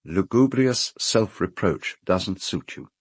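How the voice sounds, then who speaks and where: A courteous English gentleman of middle age in a radio play. His voice sits fairly low, and he speaks in a formal, well-bred, slightly fussy and hesitant way. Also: slow pace, husky, exaggerated voice. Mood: confiding. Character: fussy, courteous gentleman host of an island castle. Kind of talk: British radio drama acting.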